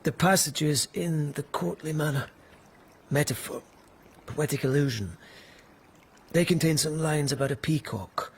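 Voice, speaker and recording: A man's voice: fairly high pitch, measured pace, long pauses, slight background noise. An actor playing a dogged, intense palace policeman in a radio drama, speaking in an earnest, formal and carefully courteous way. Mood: nervous, formal.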